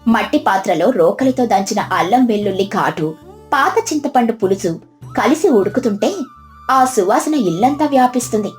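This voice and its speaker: High-voiced woman narrating a story. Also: lively intonation, normal speed, soft background music. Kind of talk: scripted moral story narration.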